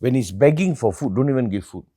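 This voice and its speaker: Lively, musing man. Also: mid-range voice, rapid-fire. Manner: animated, musing, playful teasing. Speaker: meditation teacher and show host. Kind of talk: casual conversation.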